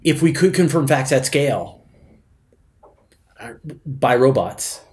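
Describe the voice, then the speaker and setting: A man's voice: medium pitch, animated, slow, darkish tone. A man hosting a casual interview, musing his way through ideas as he talks. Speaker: podcast host interviewing guests. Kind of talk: casual thoughtful discussion.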